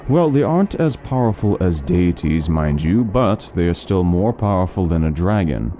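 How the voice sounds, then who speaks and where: An actor playing a learned doctor who eagerly lectures on lore in an interactive fantasy story. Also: male voice, deep voice, big pitch swings, normal speed, mellow. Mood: explaining. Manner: talkative, lecturing, fond of lore. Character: scholarly male doctor, middle-aged, chatty lore lover.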